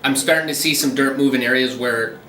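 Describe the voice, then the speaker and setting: A man's voice: medium pitch, a little fast, echoey room, distant mic. A man working as a commercial realtor, explaining local deals in a businesslike way during a phone interview. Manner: steady, businesslike explaining with frequent ums.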